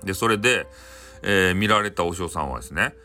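A man with a Hakata dialect informally telling a bedtime folktale in a folksy, conversational way with halting phrases. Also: low voice, lively intonation, pushed and bright, soft background music. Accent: Hakata, Japan. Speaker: storyteller.

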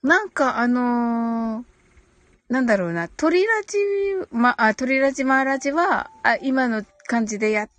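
A woman's voice: on the high side, lively intonation, unhurried, a bit bright, no echo. A woman hosting a casual live audio stream, reading out listener comments and replying in a polite, agreeable way with frequent little murmurs of acknowledgement. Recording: music playing behind the voice. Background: background music.

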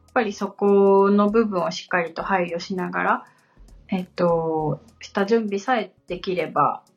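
Podcast assistant, woman, composed and courteous, husky delivery on a slightly muffled recording. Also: fairly low pitch. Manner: calm, composed tone. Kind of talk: polite conversational replies. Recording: dull lo-fi sound with music.

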